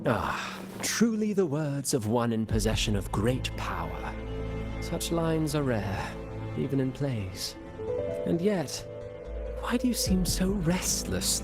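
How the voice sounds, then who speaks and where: A sly, theatrical schemer from a fantasy game. His medium-pitched voice is florid and mock-deferential, with a taunting edge, set over clear background music. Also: big pitch swings, measured pace. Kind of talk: game voice acting.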